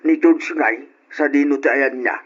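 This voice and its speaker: Man playing a husky, kindly old father. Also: medium pitch, moderate pace, lightly acted. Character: kindly, pious older father, doting on his daughter. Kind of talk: old Ilocano radio drama acting. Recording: dull, lo-fi old radio recording.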